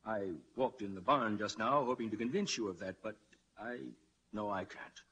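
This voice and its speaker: A man's voice: fairly low pitch, moderate pace, pushed and bright, breathy edge. An actor playing a worried, middle-aged father with a hesitant, fatherly manner in a 1940s radio drama. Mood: gentle, resigned. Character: worried middle-aged father, cautious small-town politician, gently resigned. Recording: noisy vintage 1940s radio broadcast.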